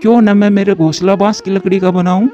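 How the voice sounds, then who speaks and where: A man voicing calm male birds in a children's cartoon, speaking in a measured, explanatory way. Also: high-pitched, muffled recording, lightly acted. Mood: calm, friendly. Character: calm male crow husband and stately eagle king. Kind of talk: Hindi children's cartoon dialogue. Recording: music behind the voice.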